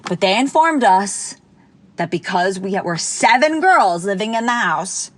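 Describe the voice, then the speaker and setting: A young woman casually telling a personal anecdote, animated and chatty with lots of fillers. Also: a little slow. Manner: animated, jumps high when quoting people. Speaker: storyteller.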